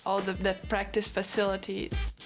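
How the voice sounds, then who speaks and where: Earnest young woman athlete, flat tone. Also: mid-range voice, moderate pace, loud music behind the voice. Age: young adult. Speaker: college basketball player. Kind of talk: interview.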